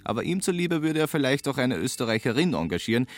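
A man's voice: mid-range voice, sing-song, fast. A man narrates a literary story, relaying what its characters say. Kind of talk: literary narration in reported speech. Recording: music behind the voice. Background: music.

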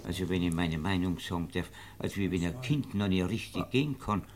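Man acting a deferential, stammering shoemaker, low voice. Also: a little fast, a little hiss or hum, lightly acted. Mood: insistent. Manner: stammering, obsequious, butting in. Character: eager, deferential master shoemaker, opinionated witness. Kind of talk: German period radio drama acting.

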